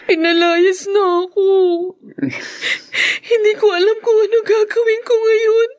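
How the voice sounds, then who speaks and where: A woman's voice: extremely high pitch, flat delivery, a little slow, darkish tone. A woman playing a meek, tearful young housemaid who pleads in a melodramatic radio drama. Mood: sad. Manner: pleading, plaintive.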